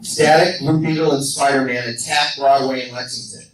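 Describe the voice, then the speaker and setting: A man's voice: mid-range voice, fairly even intonation, normal speed. A man narrating superhero action from a script for a live radio play. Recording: echoey, distant, noisy fan recording.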